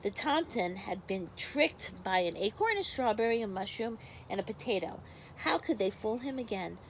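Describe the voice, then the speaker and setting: A woman's voice: mid-range voice, expressive, normal speed, slight background noise, rough recording. A woman teacher speaking expressively to young children in a story read-aloud with casual asides. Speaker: first grade teacher.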